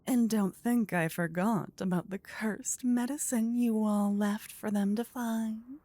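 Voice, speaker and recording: A woman's voice: mid-range voice, warm tone, voice pushed up. A woman voicing a possessive, teasing demon queen in a fantasy ASMR audio roleplay, theatrical and gloating. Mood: gloating, rallying.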